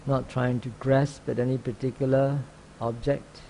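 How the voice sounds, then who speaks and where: A man teaching guided meditation, speaking calmly and unhurriedly in short phrases with long pauses. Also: mid-range voice, a little hiss or hum, low-quality recording. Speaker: meditation teacher, Buddhist monk.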